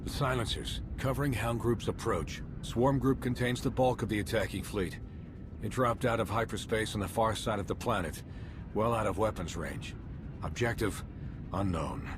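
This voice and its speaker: A man's voice: fairly low pitch, moderate pace, noisy background, close to an everyday voice. A man playing a businesslike military officer in a sci-fi game, briefing in a clipped, urgent, formal way. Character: crisp, businesslike male military officer giving tactical briefing.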